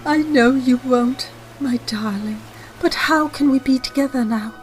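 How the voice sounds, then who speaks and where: A woman's voice: high-pitched, unhurried. A woman playing a devoted, emotional young bride-to-be in a period audio drama, drawing out her words. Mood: yearning, loving. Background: music.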